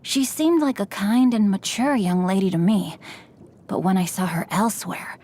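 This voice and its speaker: A woman voicing a refined, courteous young male swordsman and scholar in a game, speaking politely and formally with a gently teasing edge. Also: mid-range voice, normal speed, close to an everyday voice. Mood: polite, composed. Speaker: game character voice lines. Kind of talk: scripted game voice lines.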